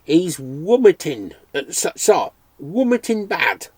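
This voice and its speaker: A man's voice: a little high, big pitch swings, a bit bright, breathy edge, no room sound, voice pushed up, full character voice. A man doing a solemn, deaf old pipe-smoker. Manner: rich unusual accent, slow and plain. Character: solemn, hard-of-hearing older man with pipe.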